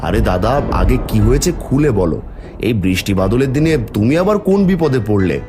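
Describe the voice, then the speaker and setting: A man's voice: mid-range voice, very animated, brisk, dark tone, natural voice. A man playing a kind, hardworking poor farmhand in a cartoon story, earnest and given to exclamations. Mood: helpful. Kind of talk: cartoon story dialogue acting. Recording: music under the voice.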